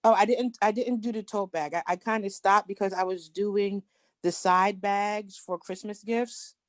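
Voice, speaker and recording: A woman's voice: medium pitch, steady tone, moderate pace, dry recording, studio quality. A woman from New Jersey, a sewing student chatting casually on a group call. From New Jersey, United States. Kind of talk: casual chat.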